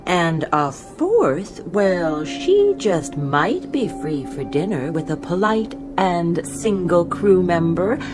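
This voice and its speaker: A low-pitched female flight attendant in a radio play. She is playful, teasing and polished, with very lively intonation over music. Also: slow pace, few pauses. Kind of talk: radio drama acting.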